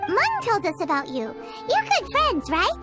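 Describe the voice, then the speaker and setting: A woman voicing a small, bubbly, childlike companion in a video game, cutesy and excitable. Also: very high voice, moderate pace, over loud music, heavily acted. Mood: hesitant, chatty. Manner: cutesy, exclamatory.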